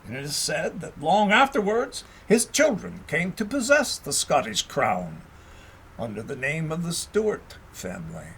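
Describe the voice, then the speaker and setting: Male narrator for historical storybook audio: high register, very lively intonation, expressive measured read. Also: a little hiss or hum.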